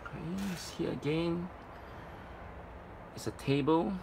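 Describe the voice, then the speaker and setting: A man giving an informal, halting item-by-item walkthrough as a presenter at a storage unit. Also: fairly even intonation, gravelly. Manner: halting, piecemeal delivery. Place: storage facility, at open unit. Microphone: handheld phone camera, speaker behind it. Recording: noisy handheld recording, traffic-like rumble.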